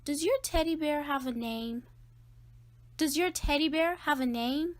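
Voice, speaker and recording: A woman's voice: high voice, a little slow, voice pushed up. A woman voicing a curious, persistent young child in a storybook read-aloud. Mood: curious, insistent.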